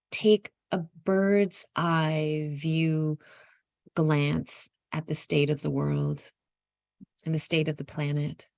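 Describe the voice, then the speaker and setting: Woman guiding a meditation, really slow w lots of pauses, lowish voice, dry close sound. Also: gravelly, no room sound, muffled recording. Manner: unhurried, pausing mid-phrase, softly inviting. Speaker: guided meditation leader. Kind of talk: guided meditation, gentle spoken instruction.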